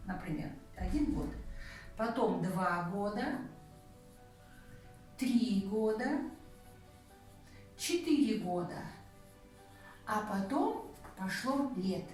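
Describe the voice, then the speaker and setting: A woman's voice: mid-range voice, a little mellow. A woman teaching a language lesson, patiently counting through examples and checking in with her student. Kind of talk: explaining a grammar point, counting through examples. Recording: very echoey room, voice from a distance, faint music.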